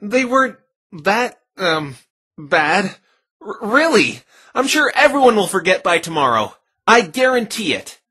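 A man playing an earnest, flustered young defense lawyer in an animated fan dub, hesitant and exasperated. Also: high-pitched, unhurried, breathy edge, heavily acted. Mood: agitated, pleading. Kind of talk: fan dub visual novel acting.

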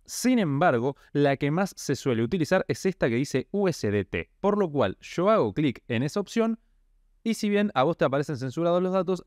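A man's voice: mid-range voice, a little mellow, no echo, studio quality. A young man with a Rioplatense Argentine accent, walking viewers step by step through a tutorial as host of a finance channel. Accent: Rioplatense Spanish. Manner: direct, walks viewer step by step. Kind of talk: casual tutorial explainer. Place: small indoor room.

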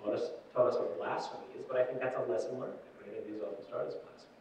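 A middle-aged man giving a conference keynote from a stage, emphatic and quick, often repeating his key phrases. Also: mid-range voice, fairly even intonation, background noise. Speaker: keynote speaker. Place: dark conference ballroom on stage. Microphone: room PA picked up by a far camera. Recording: very echoey hall, distant, dull sound.

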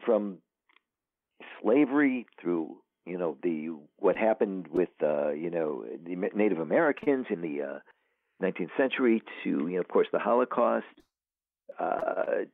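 Deep-voiced man on a tinny phone line. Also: no echo. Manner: halting, many restarts, somber. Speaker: author guest. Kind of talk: casual interview talk.

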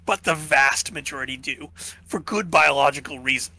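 A man's voice: fairly high pitch, normal speed, gravelly, sharp tone, low-quality recording. A man co-hosting a podcast, musing through philosophy in casual chat, checking in with "right?" and losing his voice. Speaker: podcast co-host.

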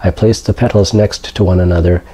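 A man in his thirties reading a literary short story aloud in a measured way, recorded in a living room a few feet from the camera. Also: low-pitched, steady tone, dark tone, breathy edge, low-quality recording. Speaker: story reader. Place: indoors, living room with books and brick fireplace.

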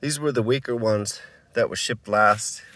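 A man's voice: on the low side, unhurried, a bit bright, no room sound. A man giving a casual tour of his dry desert garden, rambling and thinking aloud while filming on his phone outdoors. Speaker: home gardener.

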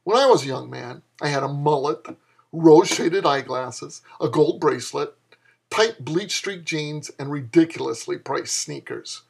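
Husky, wry man in his fifties. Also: on the high side, measured pace. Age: 50s. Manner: wry, exasperated delivery of an older mentor's lines. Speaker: author reading own fiction. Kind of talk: dramatic flash fiction reading in dialogue.

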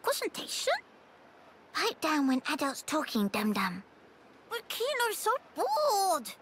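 A woman's voice: high-pitched, wildly swooping pitch, slow pace, fairly bright tone, a little hiss or hum, heavily acted. A woman voicing a small, cute, cheeky creature in a video game, talking in childlike broken grammar.

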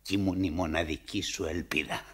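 An actor playing a bitter old father in a radio theatre monologue, plaintive, halting and dramatic. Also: low voice, steady tone, breathy edge. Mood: plaintive, wistful.

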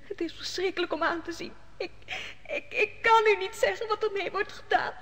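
An actress playing a frightened young servant in an old radio drama, speaking haltingly and tearfully. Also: female voice, extremely high pitch, fairly bright tone. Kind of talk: 1950s-60s radio drama acting. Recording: old radio play with long reverb.

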